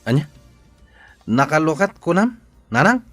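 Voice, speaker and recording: An excitable village man, played by a voice actor in an Ilocano audio drama. His pitch is on the high side and swings widely, in a close, dry recording. He sounds curious and playful. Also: unhurried, dry recording, soft background music, full character voice. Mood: curious, amused.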